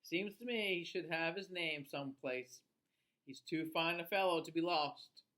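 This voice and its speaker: A man's voice: fairly high pitch, a little slow, bright, forceful tone. A man voicing a thoughtful, kindly young male artist in a storybook, musing aloud.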